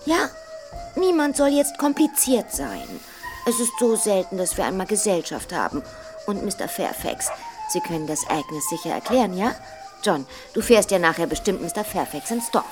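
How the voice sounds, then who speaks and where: A woman acting as a manor lady in a radio play. Her mid-pitched voice moves in lively swings. She is poised and socially polished, with a firm, chiding streak. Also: moderate pace, over music, lightly acted. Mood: firm, coaxing.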